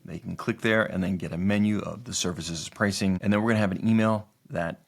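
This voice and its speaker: A man narrating a step-by-step software tutorial as its host, explaining each part in an instructional way. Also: deep voice, fairly even intonation, a little fast, gravelly.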